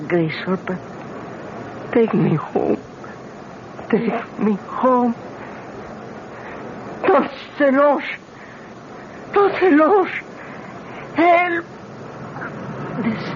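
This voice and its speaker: A noisy old radio drama recording holds a dying king. His high-pitched voice drags out broken, pleading phrases, extremely slowly and deliriously. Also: exaggerated voice. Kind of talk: vintage radio drama acting. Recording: lo-fi radio recording, clear background noise.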